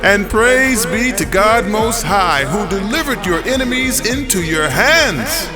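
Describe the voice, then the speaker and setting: Man acting a booming, exalted priest-king, high and drawn-out. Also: sing-song, over music. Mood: exalted, fervent. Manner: loud, drawn-out, ceremonial proclaiming. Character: exalted priest-king proclaiming a solemn blessing.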